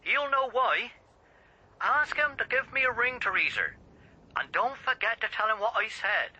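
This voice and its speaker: An actor in a radio drama playing a gruff local man who speaks in clipped, leading questions through a telephone filter. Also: very high-pitched, lively intonation, normal speed, bright, forceful tone, slight background noise, heavily acted. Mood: urgent, insinuating. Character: gruff, probing local man passing on grim news by telephone. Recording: thin band-limited telephone line.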